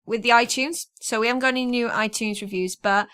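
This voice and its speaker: A woman's voice: on the high side, fairly even intonation, brisk, a bit bright, smooth. A woman hosting a podcast, chatting casually through notices and sign-offs. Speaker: podcast host.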